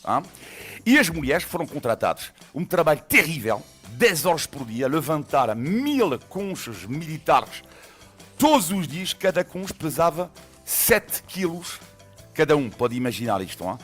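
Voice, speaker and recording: A middle-aged man from France with a French accent, telling a story as a radio columnist in a lively, laughing way with hesitations. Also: a little high, a little slow, breathy edge, soft background music. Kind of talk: morning radio segment, storytelling.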